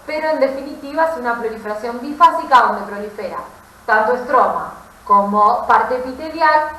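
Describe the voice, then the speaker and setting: A woman's voice: a little high, lively intonation, moderate pace, sharp tone. A woman with an Argentine accent giving an explanatory medical lecture, didactic, often checking understanding with short tag questions. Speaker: pathology lecturer, physician. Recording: roomy, echoey voice recording.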